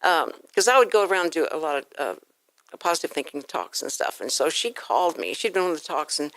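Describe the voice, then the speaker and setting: A woman's voice: deep voice, big pitch swings, fairly quick, fairly bright tone, no echo. A woman from Houston, Texas, hosting a casual radio interview in an effusive, rambling, anecdotal way. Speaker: talk show host. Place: radio studio.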